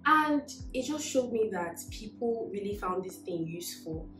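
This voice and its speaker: A woman's voice: medium pitch, animated, moderate pace, over music. Upbeat young woman vlogging in a roomy space. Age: young adult. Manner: upbeat, encouraging, gestures while talking. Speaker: tech vlogger. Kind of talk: casual storytelling to camera. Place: indoor living room.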